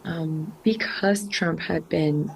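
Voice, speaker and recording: A woman's voice: sits low, slow, creaky, a little hiss or hum, poor audio. A woman from Venezuela, a journalist, earnestly explaining events step by step in an interview, with frequent fillers and restarts. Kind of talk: interview answers, chronological account. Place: Caracas.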